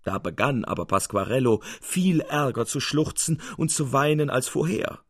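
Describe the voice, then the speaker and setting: A man's voice: medium pitch, animated, normal speed, husky, no room sound. Dry-recorded male literary narrator.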